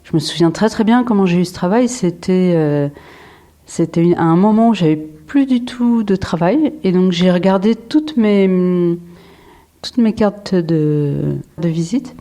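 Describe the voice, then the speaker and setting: A woman's voice: fairly low pitch, measured pace, dark tone. Hesitant French woman in her forties. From France. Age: 40s. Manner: hesitant, many fillers and restarts. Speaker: photographer, interview guest. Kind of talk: reflective interview answers.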